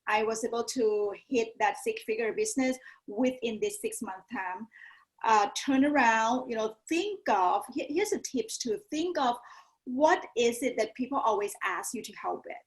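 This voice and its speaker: A woman's voice: on the high side, poor audio. A woman from Thailand with a Thai accent, a yoga teacher talking earnestly as a guest, often adding "you know" and "right?". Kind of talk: casual interview chat.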